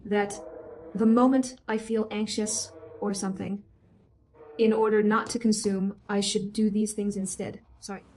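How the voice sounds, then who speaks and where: A woman speaking as a patient in therapy, answering in a hesitant, halting way. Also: medium pitch, level tone, darkish tone, slight background noise.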